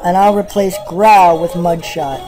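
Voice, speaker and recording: A woman's voice: lowish voice, fairly even intonation, a little slow, poor audio. Woman reading game dialogue aloud. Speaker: let's play host. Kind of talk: casual gameplay commentary. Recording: game music behind. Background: game music.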